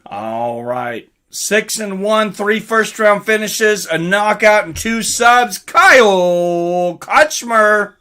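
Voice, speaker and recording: A man hosts a casual live fight companion stream in a forceful, bright voice that sits a little high. He takes his time, speaking slowly and informally. He addresses his viewers directly and often clears his throat. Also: lively intonation. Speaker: host of a live fight watch-along stream. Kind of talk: casual live commentary, reading out and answering chat.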